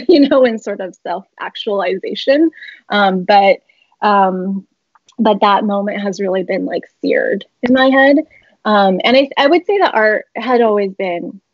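A young woman from the Bay Area, a little raspy today, chatting as an interview guest over a video call, rambling with many fillers and laughs. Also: medium pitch, dry recording. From San Francisco Bay Area. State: slightly raspy voice. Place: home room with shelves, indoors. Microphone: laptop webcam video call. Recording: muffled video-call audio.

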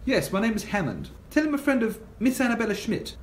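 A man playing a polite, understated gentleman on the run in a homemade radio play. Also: high voice, very animated, a little fast. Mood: polite, earnest. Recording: echoey room, faint noise.

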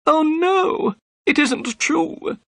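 A man's voice: very high-pitched, lively intonation, drawn-out words, vocal fry, fairly bright tone, no room sound, pitched above the performer's own voice, heavily acted. A man voicing a timid, frightened man who pleads his innocence in a wailing voice, in an audiobook story. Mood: alarmed denial.

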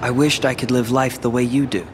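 A brooding American teenage warrior in a video game cutscene, voiced by a man. He speaks in a measured, world-weary way at a medium pitch. Faint ambient noise sits behind the voice. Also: little pitch movement, natural voice. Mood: reflective, confiding. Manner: subdued, reflective, measured.

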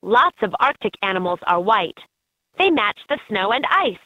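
A woman voicing an encouraging guide in a children's educational game, narrating in a clearly enunciated, instructive way. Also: mid-range voice, big pitch swings, moderate pace, sharp tone, no echo. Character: encouraging female guide in a kids' animal learning game. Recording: muffled lo-fi handheld game audio clips.

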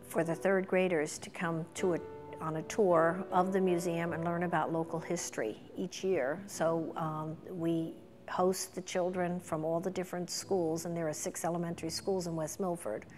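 A woman's voice: lowish voice, darkish tone, long reverb tail. A middle-aged woman speaking in a documentary interview as a museum chairperson, recorded indoors. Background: instrumental music.

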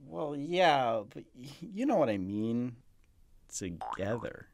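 An actor playing a needy, eager praying mantis boyfriend in an animated film, talking in a pleading, excitable, naturalistic way. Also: male voice, mid-range voice, slow pace, gravelly, no echo, studio quality, full character voice. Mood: pleading, frustrated.

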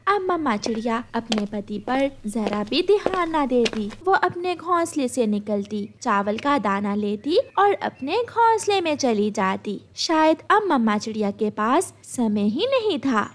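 A woman reads a children's story as its narrator. Her voice is high and her intonation is very lively, in an expressive storytelling style. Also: a little slow, hardly any pauses.

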